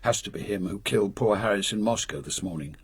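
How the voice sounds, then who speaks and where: An actor playing an authoritative older British spy chief, brisk and ruthless, with clipped, formal delivery in a radio drama. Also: male voice, fairly low pitch, breathy edge, no room sound. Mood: grave, resolute.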